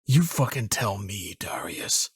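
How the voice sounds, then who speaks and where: A man's voice: fairly low pitch, lively intonation, takes it slowly, slightly rough, no room sound, studio quality, exaggerated voice. An actor playing a weary, bitter police detective who tells his story in a brooding, sardonic, dramatic way for a video game cutscene. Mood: angry, defensive. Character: weary, bitter male police detective recounting a serial killer case.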